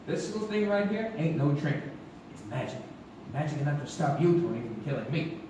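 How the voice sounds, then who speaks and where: Cocky young gambler in echoey hall. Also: male voice, a little high, big pitch swings, brisk, noisy background. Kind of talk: live stage radio-play acting. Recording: echoey theater, distant mic, loud music.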